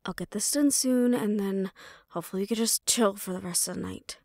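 A woman's voice: medium pitch, a little fast, a little mellow, no room sound, clean studio sound, close to an everyday voice. A woman playing a defiant, loyal young banshee daughter in an audio roleplay, sarcastic and casual. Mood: reassuring.

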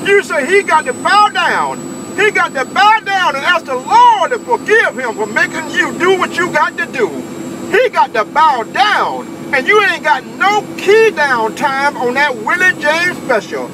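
A man's voice: very high voice, big pitch swings, measured pace. A man bantering on CB radio in a teasing, repetitive, whooping manner. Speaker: CB radio operator. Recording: loud engine-like radio noise.

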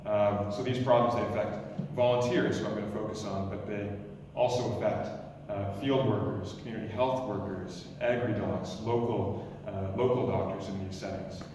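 A man in his thirties, an emergency doctor, giving a loud, energetic startup pitch in a lecture hall with frequent restarts. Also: moderate pace, hardly any pauses, a little hiss or hum. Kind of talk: pitch presentation. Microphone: stand mic, recorded from far camera. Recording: echoey lecture hall from far off.

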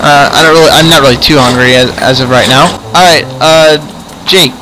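A man improvising in-character chat in a video game roleplay, halting and often repeating words. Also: on the high side, steady tone, background noise. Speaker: host and player of an online roleplay series.

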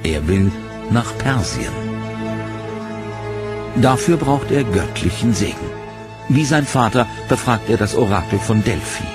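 A man giving scripted, measured documentary narration in a dramatic tone. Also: fairly low pitch, expressive. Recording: narration over loud music.

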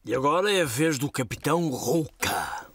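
A man's voice: on the high side, sing-song, normal speed, a bit bright, breathy edge, no room sound, studio quality, exaggerated voice. Kindly old cartoon grandfather. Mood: affectionate. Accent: European Portuguese. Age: older. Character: kindly, playful grey-haired grandfather. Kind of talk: children's cartoon dialogue.

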